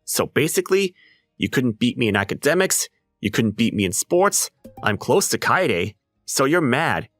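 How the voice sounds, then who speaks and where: A man voicing an unbothered, sardonic high school underdog in an anime-style comic dub, with a dry, mocking delivery. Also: very animated, moderate pace, a bit bright, no echo, soft background music. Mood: dry contempt.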